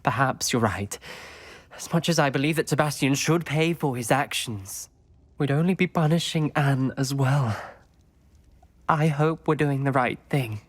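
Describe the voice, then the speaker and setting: An actor playing a troubled young male student who speaks gravely and hesitantly in a video game. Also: medium pitch, measured pace, lightly acted.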